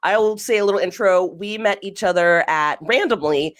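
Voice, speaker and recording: A woman's voice: medium pitch, moderate pace. A young woman hosting a podcast interview, chatty and giggly in casual conversation. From Los Angeles area. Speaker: podcast host.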